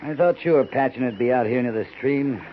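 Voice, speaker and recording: A man's voice: mid-range voice, fairly quick, lightly acted. A stern, clipped cavalry captain, voiced by a man who gives orders in few words. The sound is a muffled 1950s radio broadcast under a faint hiss. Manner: terse, clipped, commanding. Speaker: lead character.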